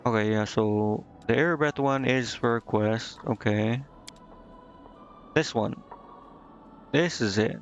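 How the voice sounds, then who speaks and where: A man's voice: medium pitch, slow, pauses often, highs cut off. A man doing casual live game commentary as a streamer, hesitantly thinking aloud to himself and reading on-screen text out. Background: game music.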